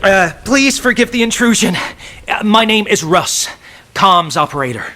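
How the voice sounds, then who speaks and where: A man's voice: high voice, expressive, normal speed, sharp tone. A man playing a polite, desperate young comms soldier in a video game, deferential and hesitant as he pleads. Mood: urgent, nervous. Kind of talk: video game cutscene acting.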